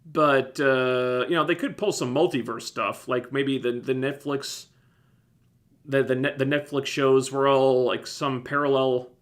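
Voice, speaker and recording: Guy on a livestream, scratchy phlegmy throat, rambly laid-back chat, average pitch. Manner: relaxed, rambling, self-interrupting. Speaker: livestream host reviewing TV shows. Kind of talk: casual livestream chat answering viewers.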